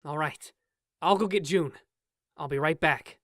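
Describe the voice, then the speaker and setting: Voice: medium pitch, unhurried, a bit bright, no room sound, studio quality, natural voice. An actor voicing an earnest, worried male college student in a game fan dub, plain-spoken and concerned.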